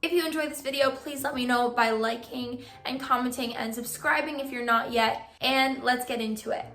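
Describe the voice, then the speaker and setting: A young woman vlogging casually to her viewers, giving friendly reading tips, her voice a bit hoarse from losing it. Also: a little high, moderate pace, sharp tone, reverberant, faint music behind. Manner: friendly advice-giving, filler "like". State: partly lost voice, hoarse. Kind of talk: casual vlog talk to camera.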